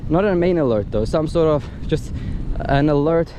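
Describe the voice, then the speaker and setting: Clear outdoor noise sits behind a man with a slightly high-pitched voice. He talks casually, like a reviewer walking through a product's features step by step. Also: animated, normal speed. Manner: explanatory, step by step. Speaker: gadget reviewer. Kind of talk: casual hands-on product demonstration. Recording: loud wind and outdoor ambient noise.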